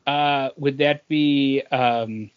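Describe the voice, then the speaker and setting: A man's voice: mid-range voice, steady tone, very slow, clean tone, dry recording, muffled recording. Dry-sounding man on a call. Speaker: podcast panelist answering trivia. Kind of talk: casual chat.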